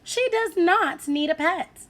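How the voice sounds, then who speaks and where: A woman voicing an exasperated mother in a storybook, stern and growing louder with each protest. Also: extremely high pitch, takes it slowly, voice pushed up, heavily acted. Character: exasperated mother putting her foot down.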